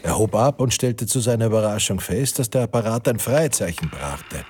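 An actor as a sober, observant storyteller giving literary scripted narration in a radio drama. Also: male voice, fairly low pitch, expressive, normal speed, darkish tone, slight background noise, natural voice. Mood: measured.

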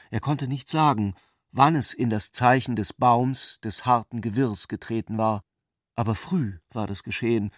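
A man reading literary prose as a scripted narrator, in a measured, deliberate way. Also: mid-range voice, no echo, clean studio sound.

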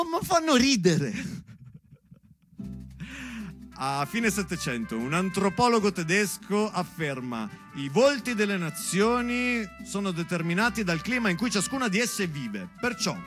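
A man's voice: high-pitched, fairly bright tone. A man performing a solo stage monologue, moving between recited lists and ironic conversational asides with rhetorical questions.

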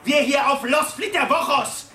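Aggressive radio drama thug: very high shouted mock pidgin, distant from the mic. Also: male voice, normal speed. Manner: shouting, mocking broken speech. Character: aggressive crude American thug barking mock pidgin at a maid. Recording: echoey noisy room, off mic.

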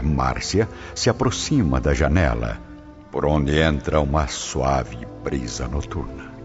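A man narrating a radio drama in a dramatic, expressive style. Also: a bass voice. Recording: muffled audio with loud background music.

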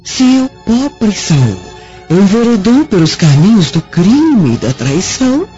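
Radio drama servant woman: gentle, reverent, husky, muffled recording with faint music. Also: medium pitch, big pitch swings, takes it slowly. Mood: consoling. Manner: solemn, consoling, formal.

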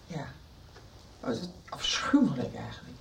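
An actress playing a shaken, insistent middle-aged mother, agitated and halting, in a radio soap. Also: rumbling low, big pitch swings, gravelly, slight background noise, heavily acted. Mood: shaken, anxious. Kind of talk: Dutch radio soap acting.

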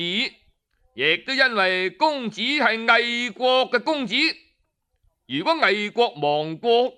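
A stern Cantonese adviser from a storybook, acted by a male narrator. He reasons slowly in a high, bright voice, pressing each point with measured rhetorical questions. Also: smooth, exaggerated voice. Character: reasoning, stern commoner adviser lecturing a prince.

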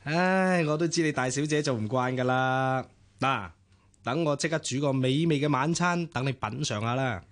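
Actor as a flirtatious, easygoing poet suitor. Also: male voice, mid-range voice, normal speed, close to an everyday voice. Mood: bantering. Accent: Hong Kong Cantonese. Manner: bantering, sweet-talking. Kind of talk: Cantonese radio drama acting.

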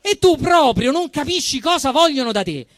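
A man with a Roman accent performs a satirical stage monologue in a very high-pitched, forceful voice. His delivery swings widely, emphatic and indignant with a mock-solemn edge. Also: very animated, moderate pace, no echo. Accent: Roman Italian. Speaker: actor performing a comic monologue. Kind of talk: theatrical satirical monologue, storytelling.

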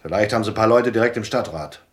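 An actor playing a tough but anxious gangster dropout in a German radio drama, speaking in a guarded, terse way. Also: male voice, fairly low pitch, very fast, natural voice. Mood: tense, urgent.

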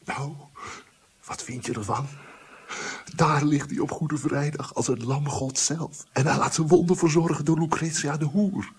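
An actor playing a sly, scornful man in a radio drama, speaking in a hushed, mocking way as a guide to hidden scenes. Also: fairly high pitch, steady tone, unhurried, husky. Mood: scornful, urgent. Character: sly, scornful male guide spying from behind a curtain.

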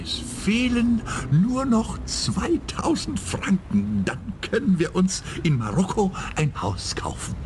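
An actor playing an elderly, lovestruck petty robber in a radio play, earnest and self-justifying, stammering and repeating himself. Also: male voice, high voice, very animated, music in the background, full character voice. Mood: dreamy. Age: 70s. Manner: halting, stammering, repeats words. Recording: background noise, ambience with vehicles.

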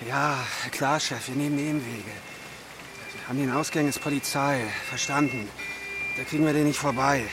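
A man's voice: medium pitch, fairly even intonation, normal speed, natural voice. An actor playing a henchman on a manhunt in a German radio play, reporting to his boss in clipped phrases. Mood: businesslike. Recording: music and vehicle noise behind. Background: music, vehicle.

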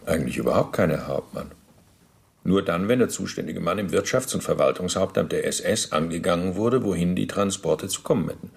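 A former Nazi functionary under interrogation in a radio play. His voice is low and creaky. He is pedantic and deferential, forever justifying himself in formal official phrasing. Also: expressive, a little fast. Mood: pedantic, evasive. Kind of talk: German radio docudrama acting, interrogation dialogue.

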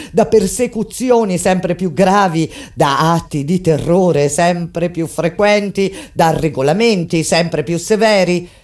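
A woman delivers dramatic theatrical narration in a solemn, deliberate manner, with weighty pauses. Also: lowish voice. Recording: voice over loud plucked guitar music. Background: loud acoustic guitar music.

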